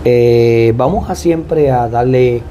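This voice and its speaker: A man with a Puerto Rican Spanish accent, a pastor speaking as a guest in a devout, deliberate testimony style. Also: medium pitch, dark tone, slight background noise. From Puerto Rico. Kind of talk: personal testimony and prayer.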